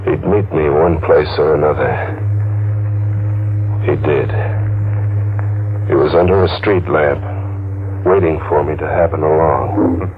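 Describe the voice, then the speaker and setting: A man's voice: very deep voice, fairly even intonation, measured pace, pauses often, slight background noise. An actor playing a guilty, haunted man on the run, edgy and evasive, in hard-boiled 1940s radio noir style. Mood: wary. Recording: dull vintage radio recording.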